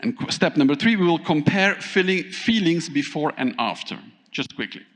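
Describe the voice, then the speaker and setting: A man from Thailand giving a conference keynote, speaking in an animated way, often turning to the audience with questions. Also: fairly high pitch, moderate pace. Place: large conference hall. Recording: long hall reverb.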